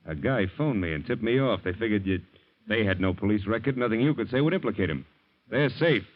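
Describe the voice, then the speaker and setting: An actor playing a tough ex-police detective who talks in blunt, hard-boiled slang, in 1940s radio acting. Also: male voice, lowish voice, lively intonation, brisk. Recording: muffled 1940s radio broadcast.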